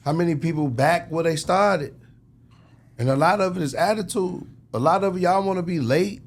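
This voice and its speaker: A man's voice: on the high side, normal speed, a little hiss or hum. An American man giving casual, emphatic, preachy advice as an interview guest, often checking the listener follows with tag questions. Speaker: music industry executive, interview guest.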